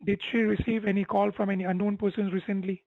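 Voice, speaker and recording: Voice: mid-range voice, little pitch movement, quick pace, gravelly, no echo, phone-quality sound. Dry-sounding phone support agent. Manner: instructing, repeatedly seeks agreement. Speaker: tech support phone scammer. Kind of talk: scripted-sounding persuasive phone support talk. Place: phone call, music behind.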